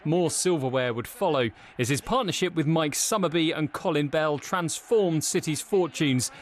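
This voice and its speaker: Bloke narrating a sports news tribute, voice a bit high, some outdoor noise behind. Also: male voice, moderate pace. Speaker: sports reporter. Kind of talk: scripted narration of a news obituary package.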